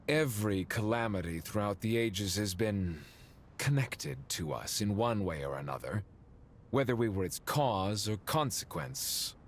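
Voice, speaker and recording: An actor playing a refined, dry and haughty ancient sage in a video game, speaking in a measured, eloquent way. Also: male voice, lowish voice, animated, a little hiss or hum. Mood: grave, formal. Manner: measured, eloquent, dryly condescending. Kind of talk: game voice acting.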